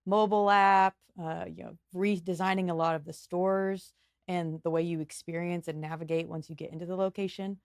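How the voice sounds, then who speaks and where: A woman's voice: fairly low pitch, fairly even intonation, moderate pace, no room sound, clean studio sound. A woman hosting an interview podcast, asking questions in an upbeat, affirming way. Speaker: podcast host. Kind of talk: interview conversation.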